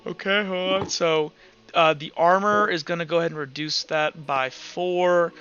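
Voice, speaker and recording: A man running a tabletop roleplaying game as game master, casually narrating and thinking aloud in a halting way. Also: fairly high pitch, fairly even intonation, bright, forceful tone, highs cut off, faint music behind.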